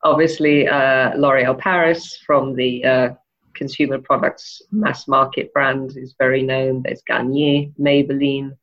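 A woman from Denmark giving thoughtful interview answers, pausing often with ums and uhs. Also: a bass voice, level tone, lo-fi sound. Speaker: interview guest, e-commerce manager.

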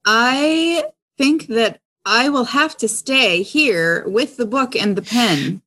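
A woman's voice: on the high side, slow, bright, forceful tone. A woman speaking in character as a player in a tabletop roleplaying game. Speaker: guest player in an audio drama game.

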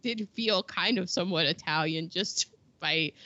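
A woman's voice: fairly low pitch, a little slow, pushed and bright, dry recording. A woman chatting casually as a podcast co-host, giggly and jumping between tangents. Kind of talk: casual chat. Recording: dull lo-fi sound.

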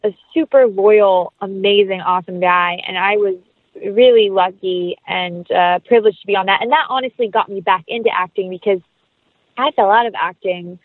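A woman's voice: medium pitch, fairly even intonation, moderate pace, no echo, narrow, tinny audio. An American woman speaking effusively and casually as a guest in an interview. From North Carolina, United States. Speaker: guest actress.

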